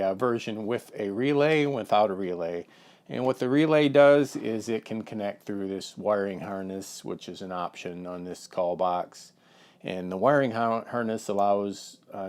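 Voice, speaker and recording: A man's voice: on the low side, a little mellow. A man giving a casual, plain-spoken product demonstration of an intercom, with lots of fillers and restarts.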